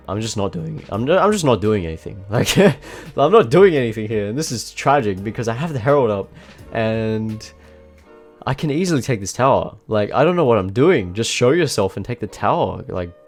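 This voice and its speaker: A man with a blocked nose and a slightly nasal voice, giving relaxed, self-mocking voice-over commentary on his own match. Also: medium pitch, moderate pace. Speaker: gameplay commentator. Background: faint background music.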